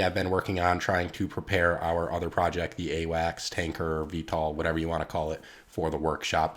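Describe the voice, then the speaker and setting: Stuffy-sounding man narrating his game build. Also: low voice. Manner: rambling, filler-heavy, friendly address to viewers. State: stuffy, congested from a cold. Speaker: game build series host. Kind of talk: casual gameplay commentary.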